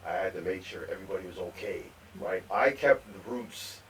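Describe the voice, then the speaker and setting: A man co-hosting a live podcast, telling rambling stories about growing up, with repeated words and animated quotes. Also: deep voice, brisk, slight background noise. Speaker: podcast co-host. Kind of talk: storytelling in casual chat. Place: live podcast recording venue. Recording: distant mic with faint room ambience.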